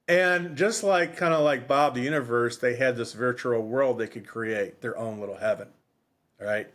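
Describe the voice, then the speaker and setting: A man's voice: mid-range voice, moderate pace, fairly bright tone. Rambling man chatting on a book podcast. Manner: rambling, repeats phrases, self-correcting. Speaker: podcast co-host. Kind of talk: casual unscripted book discussion.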